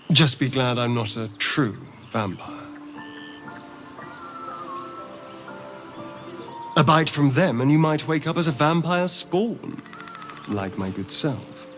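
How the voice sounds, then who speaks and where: An actor playing a charming, sardonic, flamboyant vampire spawn in a video game, with a silky, teasing, theatrical delivery. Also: male voice, fairly high pitch, fairly bright tone. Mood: wry. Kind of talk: video game character acting.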